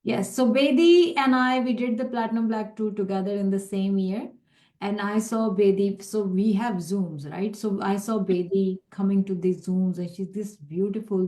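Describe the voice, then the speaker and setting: A woman's voice: mid-range voice, steady tone, moderate pace, warm tone, roomy sound. Chatty woman hosting a live video chat. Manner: chatty, rambling storyteller, laughs easily. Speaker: host of a live interview. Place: sunny room at home in Melbourne. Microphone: video call.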